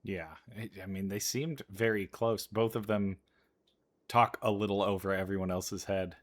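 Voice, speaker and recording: A man's voice: on the low side, fairly even intonation, normal speed. A man giving casual reaction commentary as he plays through a video game. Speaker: let's play streamer.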